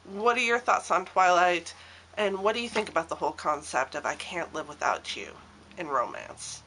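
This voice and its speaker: Young female vlogger, bright tone, pitched a touch low, sniffly cold, rambling with ums and restarts. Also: normal speed, slight background noise, low-quality recording. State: stuffy with a cold. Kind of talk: casual talking-to-camera vlog.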